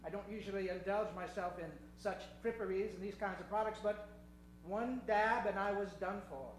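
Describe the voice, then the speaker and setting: A man's voice: high-pitched, steady tone, normal speed, close to an everyday voice. Self-satisfied genteel endorser, played by a man: mannered delivery, old radio ad style, echo and faint hum. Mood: smug, pleasant. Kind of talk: old-time radio ad skit. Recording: echoey room with hum.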